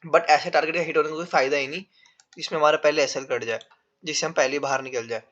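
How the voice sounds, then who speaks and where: A man's voice: on the high side, fairly even intonation, fast talker, fairly bright tone. A man with a sore throat teaching a trading lesson while drawing on a chart, explaining step by step in a casual way. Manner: explains step by step, calls viewers "bhai". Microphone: no proper mic yet. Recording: dull lo-fi recording without a proper mic.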